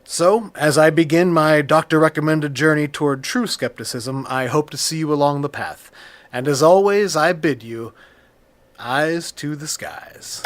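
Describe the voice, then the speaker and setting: A skeptical podcast host played by a man in an audio drama, with a fairly high voice. He speaks in a measured, probing way, with a dry, weary edge. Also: close to an everyday voice. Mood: measured, inquisitive. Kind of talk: audio drama, scripted interview hosting.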